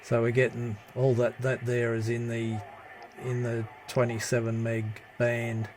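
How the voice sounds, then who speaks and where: A man giving a casual, hands-on CB radio hobby demonstration in a halting, matter-of-fact way, recorded on a handheld phone. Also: mid-range voice, completely flat delivery, a little mellow, rough recording. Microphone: handheld phone camera. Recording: noticeable background noise, handheld phone recording.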